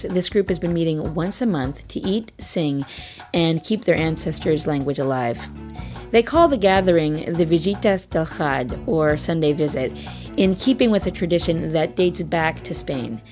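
A woman hosting a podcast, narrating a scripted introduction in a clear, measured way. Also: low voice. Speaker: podcast host. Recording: music playing under the voice. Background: music bed.

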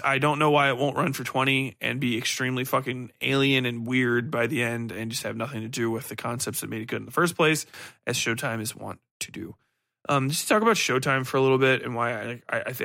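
A man's voice: mid-range voice, creaky, a bit bright, no echo, clean studio sound. Rambling, blunt man reviewing casually. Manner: rambling, hesitant, self-correcting; blunt, sweary, opinionated. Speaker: podcast host reviewing books and TV.

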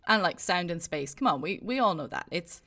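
A woman with a neutral Irish accent talks through a film review in a relaxed, casual way. Her voice sits fairly low for a woman, and her thoughts often trail off softly. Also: brisk. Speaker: podcast co-host.

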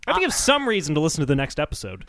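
Animated, high-pitched man hosting a podcast. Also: very fast, no echo, studio quality. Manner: animated, jokey, mock-serious delivery. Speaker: podcast host. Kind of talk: casual comedic podcast chat.

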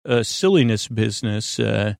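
A man with a creaky voice hosting a sleep podcast in a meandering, halting monologue that keeps interrupting itself. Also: fairly low pitch, lively intonation, darkish tone, dry recording, studio quality. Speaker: podcast host.

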